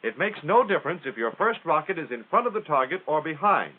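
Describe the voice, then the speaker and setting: American male narrator for a 1940s military instructional film; plain-spoken and folksy, highly animated intonation, thin vintage soundtrack sound. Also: fast. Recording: tinny, band-limited 1940s film soundtrack.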